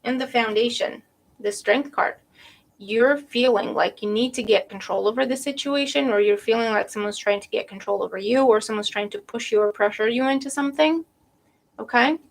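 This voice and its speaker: A woman giving a live tarot reading to viewers, losing her voice with a cold, thinking aloud and often checking in with "right?". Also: fairly high pitch. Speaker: tarot reader.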